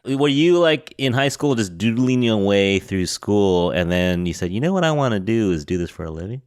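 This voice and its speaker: Lively man hosting a podcast. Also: on the low side, clear, resonant tone, no room sound, clean studio sound. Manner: friendly, chatty, quick agreeing interjections. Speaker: podcast host. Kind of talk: casual interview chat.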